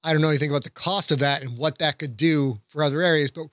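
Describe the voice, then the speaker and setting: A man's voice: on the high side, fairly even intonation, quick pace, pushed and bright, no echo, clean studio sound. A man, an author talking as a guest in a casual discussion and explaining his thoughts.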